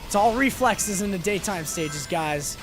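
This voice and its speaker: A man's voice: sits high, animated, a little slow. A man doing live gameplay commentary while he is losing his voice, talking upbeat and rambling with lots of fillers and restarts. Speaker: game streamer. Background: loud game music.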